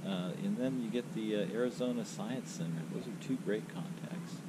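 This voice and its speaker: A man's voice: lowish voice, normal speed. A middle-aged man doing amateur radio callouts and casual chat to camera outside his house. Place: outside a house on a residential street. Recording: noisy outdoor recording with radio hiss.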